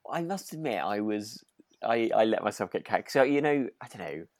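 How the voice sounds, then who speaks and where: A man with a low voice in a very dry recording. He chats casually as a podcast co-host, agreeable and a little hesitant as he rambles through his thoughts. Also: very animated, fairly quick, no echo. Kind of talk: relaxed casual discussion of a radio drama.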